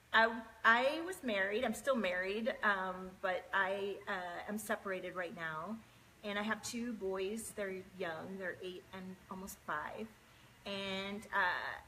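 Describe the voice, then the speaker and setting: A woman in her forties, chatting casually on a home live stream from a desk mic. Her voice is bright and forward, sitting at a middle pitch, and she greets viewers by name and fills her pauses with plenty of ums. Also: slight background noise, poor audio. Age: 40s.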